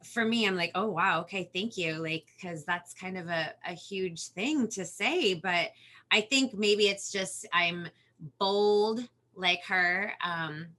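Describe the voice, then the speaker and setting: A bubbly young woman with a California Valley Girl accent, chatting as a podcast guest. Her voice is bright and forward, and she laughs often, fitting in "like" all over. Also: lowish voice, slow pace. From Los Angeles, California. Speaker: children's singer, guest. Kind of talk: casual interview chat.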